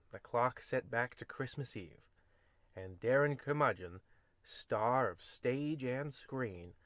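A man playing a portentous, easily flustered narrator of a comic old-time radio show, with halting delivery. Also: medium pitch, a bit bright, no echo. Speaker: narrator and host.

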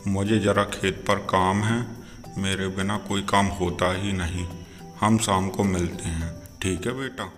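A man's voice: low voice, steady tone, unhurried, fairly bright tone. A man voicing a kindly, fatherly old village grandfather in a cartoon story. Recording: long hall reverb, music behind.

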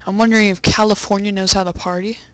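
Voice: medium pitch, little pitch movement, fast talker, rough recording. A young adult with a crude, sweary way of talking, chatting casually and making prank phone calls. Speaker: prank caller. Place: indoors at a computer.

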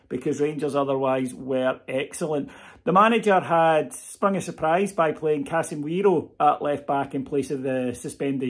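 A man in his forties talks straight into a close webcam from his memorabilia-filled room at home. He sits a little higher than most men and gives his solo football reaction with lively energy. He often stops for fillers and restarts. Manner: expressive, animated delivery with frequent fillers and restarts. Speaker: podcast host.

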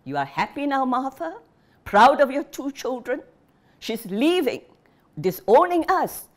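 A woman voicing a bitter, furious old father who shouts and scolds his family. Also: mid-range voice, big pitch swings, unhurried, voice pushed up. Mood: raging. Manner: shouting, scolding, jabbing repetition.

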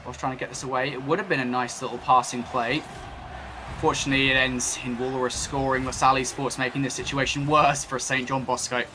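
A British man commentating a high school esports match, chatty with jokey asides. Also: level tone, a little fast, noisy background. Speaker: esports caster.

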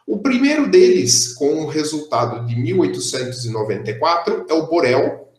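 A young man with a fairly high voice lectures on mathematics from a room with audible echo. He talks in a steady, explanatory way and drops "é" fillers between his points. The sound comes through a computer mic on a video call. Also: moderate pace. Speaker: math lecturer. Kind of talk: online math lecture.

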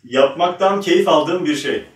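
A man's voice: a little high, a little slow, slight background noise. A young man chatting and joking casually as a talk show co-host. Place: small indoor studio set with curtain. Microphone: one shared table microphone, off from mouth. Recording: very echoey room, distant microphone.

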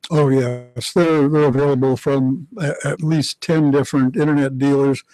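A man in his seventies, a guest historian, explaining things haltingly in a radio studio interview. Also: mid-range voice, steady tone, mellow, no room sound, rough recording. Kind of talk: informative interview talk. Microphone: boom studio mic.

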